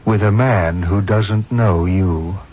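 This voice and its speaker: Husky, urgent hospital doctor. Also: male voice, sits low, slow pace. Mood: grave, confiding. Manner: authoritative, emphatic. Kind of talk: 1970s radio drama acting. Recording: muffled vintage radio broadcast.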